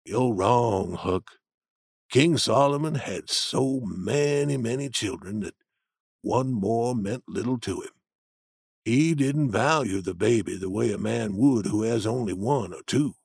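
A man reading an audiobook, voicing a stubborn grown man who argues slowly and insistently from common sense. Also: lowish voice, vocal fry, darkish tone, breathy edge, no room sound, studio quality, voice pushed down, full character voice. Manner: slow, insistent, arguing.

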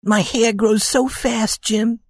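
An eager, devoted young wife from a storybook tale, played by a woman, speaks in a rather high voice. She is earnest and pleading, full of feeling. The sound is dry, with little room in it. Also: steady tone, takes it slowly, a little mellow, dry recording. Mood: tearful joy. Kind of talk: audiobook character dialogue.

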